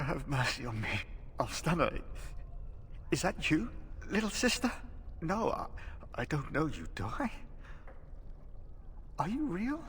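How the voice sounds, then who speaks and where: An actor playing a confused, tormented imprisoned knight in a video game, halting and weepy, with music behind him. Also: male voice, mid-range voice, a bit bright, slight background noise. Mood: dazed, fearful. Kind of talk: dramatic video game acting.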